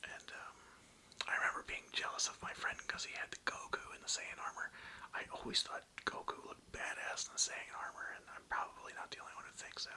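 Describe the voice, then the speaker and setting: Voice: a little fast, bright, forceful tone, rough recording. A person whispering a casual, slangy, nostalgic ASMR ramble in a hesitant, meandering way, close to the microphone. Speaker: ASMR video creator.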